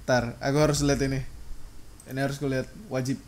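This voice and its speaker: A man's voice: medium pitch, level tone, fast talker, a little mellow, a little hiss or hum. Pria muda santai, rekaman agak berderau. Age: young adult. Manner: slangy, joking. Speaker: podcast host. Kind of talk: casual chat.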